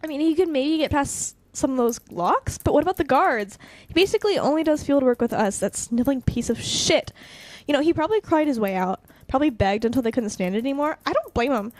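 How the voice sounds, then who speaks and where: A woman's voice: high-pitched, lively intonation, fairly quick, a little mellow. A woman playing a blunt, hot-tempered but caring young vigilante in a naturalistic audio drama, speaking emphatically. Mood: angry, scornful.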